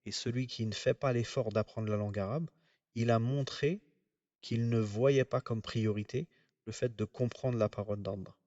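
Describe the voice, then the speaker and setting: A man giving a religious lecture, explaining in a measured way with frequent pauses. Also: medium pitch, fairly even intonation, darkish tone, dry recording, recorded from a distance, muffled recording. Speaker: religious teacher.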